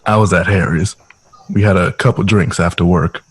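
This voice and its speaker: A man's voice: sits low, steady tone, moderate pace, slightly rough, no room sound. A man acting a tired, hard-drinking, short-tempered mill worker and father in an audio drama. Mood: low, evasive.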